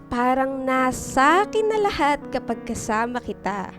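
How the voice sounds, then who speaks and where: A woman's voice: high-pitched, big pitch swings, unhurried. A woman playing a sweet, giggly, affectionate young girlfriend in a Filipino radio drama. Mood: giddy, loving. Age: young adult. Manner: cutesy, giggly. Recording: radio drama with music behind.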